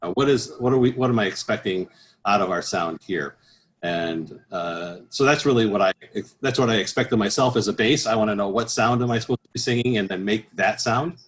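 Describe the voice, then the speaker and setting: A man speaking as an interview guest, explaining things conversationally as a choral professional, with halting, repeated phrases. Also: fairly low pitch. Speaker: interview guest, professional bass singer and choir director.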